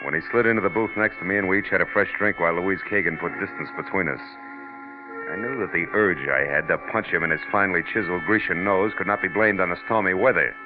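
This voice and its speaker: An actor playing a tough, wisecracking private detective in a sardonic 1940s radio noir style, wry and clipped. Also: male voice, low voice, fast talker, natural voice. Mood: wry narrating. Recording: muffled old radio broadcast with music.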